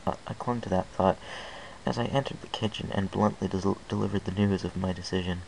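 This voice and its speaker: A man narrates a first-person story with an earnest, unpolished delivery. His voice is very deep and carries a gravelly fry. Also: steady tone, fairly quick, a little mellow, slight background noise, low-quality recording. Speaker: fan fiction reader.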